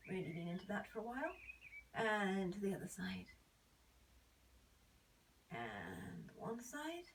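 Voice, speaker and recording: A woman teaching a belly dance warm-up while suffering from a cold, her voice hoarse and often slipping into a whisper as she calmly cues each move and counts the beats. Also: mid-range voice, takes it slowly, noisy background. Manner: calm step-by-step cueing, counting beats. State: has a cold, voice nearly gone. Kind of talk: instructing an exercise warm-up. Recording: voice a bit far from the mic.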